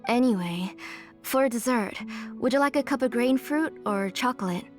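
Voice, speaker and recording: A woman's voice: fairly high pitch, normal speed, over music, close to an everyday voice. A woman voicing an older, doting mother in a video game, with a fussing, motherly manner. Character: grieving, doting older mother, retired forger.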